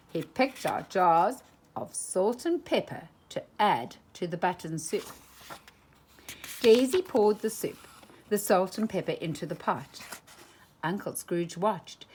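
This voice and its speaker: A woman reading a children's storybook aloud, slow and expressive. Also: medium pitch, slight background noise. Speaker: storytime reader.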